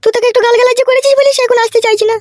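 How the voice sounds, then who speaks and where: A man voicing a bossy, scolding older village mother-in-law in a comic cartoon, pitch artificially raised. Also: flat delivery, racing, dry recording, clean studio sound. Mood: insistent. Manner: nagging, coarse, bossy. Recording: clearly noisy track with clicks.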